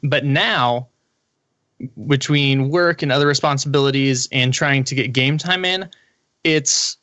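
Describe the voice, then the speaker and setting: A man's voice: mid-range voice, unhurried, sharp tone, dry recording. A man talking casually as a panelist in a gaming discussion. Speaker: podcast panelist.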